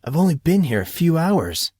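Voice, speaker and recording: A man's voice: fairly high pitch, sing-song, normal speed, warm tone, breathy edge, no echo, clean studio sound, voice pushed up. A man voicing a puzzled teenage boy in an audiobook, wondering aloud informally. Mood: baffled.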